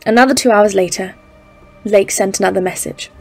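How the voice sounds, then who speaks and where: A woman playing a cautious, stern geologist leading an expedition in an audio drama, speaking in a formal, measured, literary way. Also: mid-range voice, creaky, breathy edge, faint music behind. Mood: tense, measured. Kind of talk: dramatised narration in an audio play.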